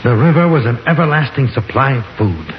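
A man's voice: medium pitch, sing-song, a little fast, natural voice. Grave professor played by a man, hissy old radio. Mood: earnest explaining. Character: grave, reasoning male professor exploring dark caverns. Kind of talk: 1950s radio horror drama acting. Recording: hissy, dull 1950s radio recording, roomy.

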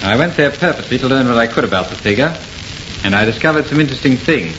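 A male actor as a grave, deliberate investigator in an old radio horror play. His medium-pitched voice is measured and theatrical. The recording is dull and noisy. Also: animated, lightly acted. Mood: grave, revealing.

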